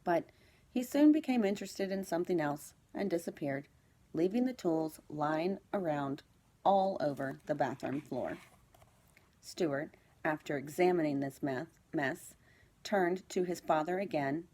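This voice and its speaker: Low-voiced woman reading a children's storybook. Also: unhurried, mellow, a little hiss or hum. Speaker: second-grade teacher reading aloud.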